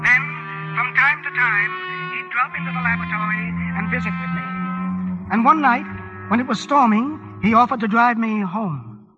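An actor playing a meek, deferential older laboratory chemist in a vintage radio drama, with formal, hesitant phrasing. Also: male voice, very high voice, normal speed. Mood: deferential, confiding. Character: timid, deferential older English laboratory chemist, a gentle bachelor. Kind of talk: 1940s radio drama acting. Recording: muffled vintage radio broadcast, loud music.

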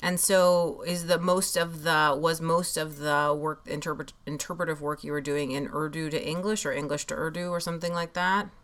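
Host, woman, low voice, reflective and keen, chatty interview style. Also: flat delivery, normal speed, few pauses, a bit bright. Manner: reflective and enthusiastic, with many fillers. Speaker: podcast host. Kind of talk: conversational interview.

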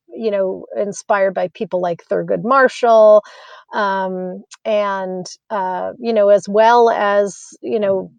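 A woman's voice: mid-range voice, no echo. An American woman in her fifties, a physician, answering interview questions in an earnest, reflective way with many fillers and restarts. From United States. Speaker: physician and guest.

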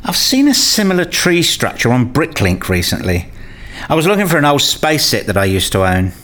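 A man's voice: on the high side, wildly swooping pitch, normal speed, fairly bright tone. Inquisitive, analytical hobbyist played by a man. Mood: questioning, puzzled. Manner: questioning, analytical, candid. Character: inquisitive male learner, keen hobbyist, quick to challenge. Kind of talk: radio play dialogue.

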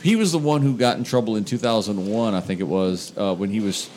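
A man's voice: on the low side, brisk, darkish tone, a little hiss or hum. Man rambling with lots of uh's. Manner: rambling, full of "uh" and restarts. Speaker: podcast co-host. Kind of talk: casual podcast chat.

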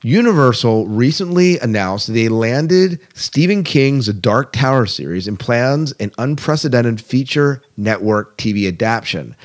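A man hosting a podcast in a casual style, chatting and reading out news with conversational asides. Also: medium pitch. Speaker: podcast host.

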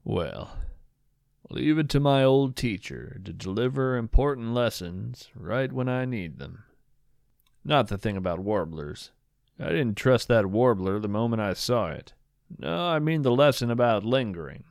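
A man playing a reflective, whimsical traveler, narrating audio fiction in an unhurried, lyrical and gently wry way. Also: medium pitch, lively intonation, creaky, no room sound, clean studio sound. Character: reflective, whimsical male wanderer telling of sea travels. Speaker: podcast narrator. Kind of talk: scripted first-person audio fiction narration.

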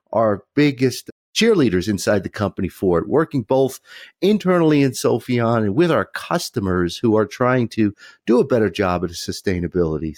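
A man's voice: mid-range voice, darkish tone, no echo, studio quality. A man hosting a podcast, chatting casually and welcoming his guest in a friendly, upbeat way. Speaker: podcast host.

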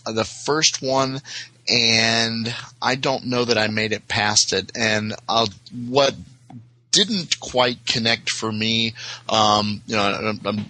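Bright-voiced man, halting and hedging. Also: on the low side, no room sound, poor audio. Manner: halting, hedging, self-correcting. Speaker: podcast guest. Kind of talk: casual chat.

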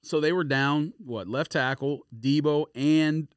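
A man's voice: on the high side, measured pace, clean tone, no room sound, studio quality. A man co-hosting a podcast in casual, slangy sports banter. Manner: slangy, frequently says bro and fam. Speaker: podcast co-host.

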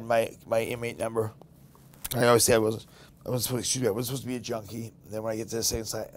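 A man with a Boston accent hosting a podcast, talking bluntly and candidly in casual conversation. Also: medium pitch. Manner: blunt, candid, animated storytelling. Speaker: podcast host.

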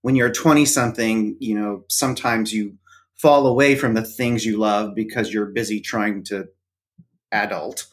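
A middle-aged man, a podcast co-host, talking casually as an interview guest and filling his pauses with ums and uhs. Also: fairly low pitch, steady tone. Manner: hesitant, many fillers. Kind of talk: casual conversation.

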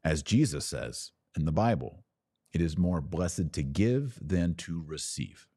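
A man with a very low, relaxed voice speaks on a close, dry microphone. He hosts an informal livestream, giving earnest advice. He urges listeners along and poses questions to answer himself. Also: unhurried, slightly rough, no room sound. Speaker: podcast host answering live viewers.

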